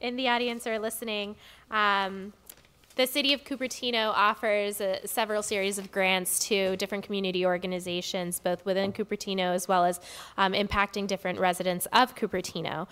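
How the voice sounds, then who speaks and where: A woman chairing a public commission meeting, speaking politely and in a measured way, with frequent fillers. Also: medium pitch, flat delivery, fairly bright tone, clean tone. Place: community hall meeting room. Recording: long reverb of a meeting hall.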